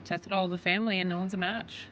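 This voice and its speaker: A woman's voice: fairly low pitch, steady tone, fast, a bit bright, lo-fi sound, a little hiss or hum, close to an everyday voice. A woman playing a weary, apologetic mother in an audio drama. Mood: apologetic, sad.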